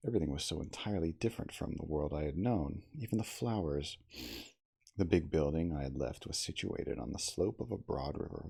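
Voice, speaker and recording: A man playing a dignified starship captain, reading a classic novel aloud in a measured, theatrical ASMR audiobook style. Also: low voice, gravelly, darkish tone, breathy edge, exaggerated voice. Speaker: cosplayer reading aloud as a character.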